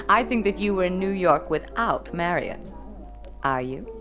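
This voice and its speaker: An actress in a vintage radio drama playing a worldly, flirtatious rich widow with an arch, knowing, teasing manner. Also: female voice, on the low side, big pitch swings, slow pace, over music, exaggerated voice. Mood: amused, flirtatious. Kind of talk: golden age radio drama acting.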